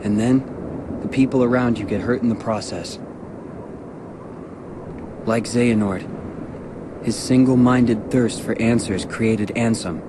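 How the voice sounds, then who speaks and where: A man playing a thoughtful, earnest young adventurer in a game cutscene, speaking in a measured, reflective way. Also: mid-range voice, noisy background, lightly acted. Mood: earnest, pensive.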